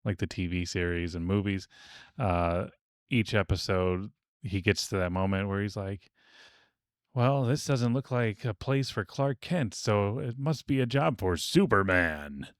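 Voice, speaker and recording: A man co-hosting a casual podcast discussion, explaining things in a measured way. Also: fairly low pitch, dry recording, clean studio sound. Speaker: podcast co-host. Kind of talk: casual panel discussion of old radio serials.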